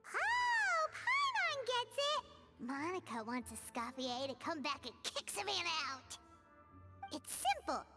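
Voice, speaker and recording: A tiny, excitable fairy companion squeaks in a very high, childlike voice. Her pitch bounces around with indignant energy, like an anime game sidekick, over loud music. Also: a little slow, full character voice. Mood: eager. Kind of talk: anime-style video game voice acting.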